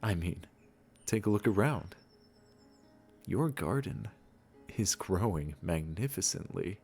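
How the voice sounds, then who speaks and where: A man playing a gentle, wise garden keeper in a comforting audio roleplay, speaking in a soothing, affectionate and encouraging way. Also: low-pitched, lively intonation, slow pace, breathy edge, full character voice. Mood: warm, delighted.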